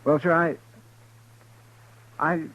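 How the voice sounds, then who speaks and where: A man's voice: a little high, little pitch movement, slow, a bit bright. A man playing a loyal, simple western deputy with a rural American drawl, hesitant and deferential, in a 1950s radio drama. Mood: sheepish, deferential. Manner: hesitant, stammering, folksy. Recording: muffled, noisy old radio recording.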